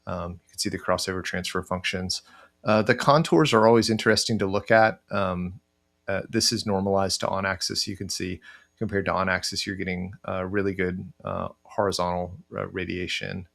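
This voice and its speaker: A middle-aged man with a low voice and plenty of fry walks through software on a screen share. His delivery is casual and halting, as he thinks aloud, and stays within a fairly flat pitch range. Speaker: software tutorial presenter. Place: indoor room, webcam screen recording.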